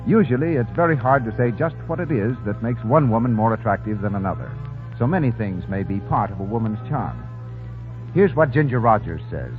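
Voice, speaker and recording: A man's voice: mid-range voice, animated, a little fast, faint music behind. An American man working as a 1930s radio announcer, with a formal, theatrical showman's delivery. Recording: tinny, band-limited 1930s radio broadcast.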